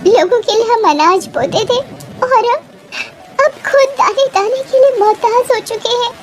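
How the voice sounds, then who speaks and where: A woman's voice: extremely high pitch, measured pace, heavy background noise, voice pushed up. A woman playing an anxious, pleading poor village wife and mother in a moral story, plaintive and respectful. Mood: plaintive despair.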